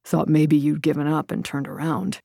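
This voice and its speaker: A woman's voice: sits low, completely flat delivery, moderate pace, vocal fry, dark tone, no echo, studio quality, pitched below the performer's own voice. Killian, a woman voicing a curt, irritable warrior man. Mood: sulky. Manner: curt and scornful. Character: Killian, a blunt, irritable young male warrior.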